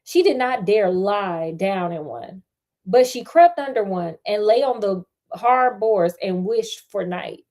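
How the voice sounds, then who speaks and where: A woman's voice: medium pitch, lively intonation, slow pace, a little mellow. An American woman reading a fairy tale aloud, breaking off into sassy, chatty, colloquial joking commentary. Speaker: storyteller and host.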